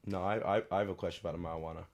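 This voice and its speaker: A young man in casual podcast banter, teasing and quick with a joke. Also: sits low, steady tone, darkish tone. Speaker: podcast co-host. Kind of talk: casual chat.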